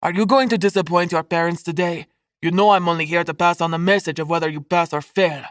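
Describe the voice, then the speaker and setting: A woman's voice: fairly low pitch, steady tone, quick pace, no room sound, studio quality, natural voice. A woman voicing a stern, scornful middle-aged man with a Spanish accent in an audiobook, hollow and precisely enunciated.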